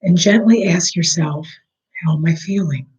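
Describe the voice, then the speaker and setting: A meditation guide leading a slow, soothing breathing meditation, speaking softly and at times almost in a whisper. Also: mid-range voice, poor audio.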